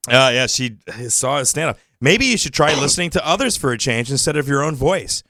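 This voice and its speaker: A man's voice: mid-range voice, animated, a little fast, sharp tone, dry recording, clean studio sound. A man co-hosting a comedy show, bantering and reading aloud in a teasing, exclamatory, casual way.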